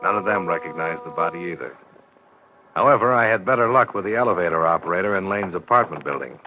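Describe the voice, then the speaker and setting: Cool private investigator, low voice, dry, probing, vintage radio drama, dull noisy recording. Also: male voice, animated, quick pace. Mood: dry narration. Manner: dry, probing, matter-of-fact. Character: cool, persistent male freelance insurance investigator. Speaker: lead and narrator. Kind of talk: 1950s American detective radio drama acting.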